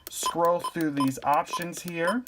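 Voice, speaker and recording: A man from Pennsylvania hosting a casual how-to video, giving relaxed, plainspoken step-by-step instructions. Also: fairly high pitch, slow, heavy background noise. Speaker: product reviewer and tutorial host.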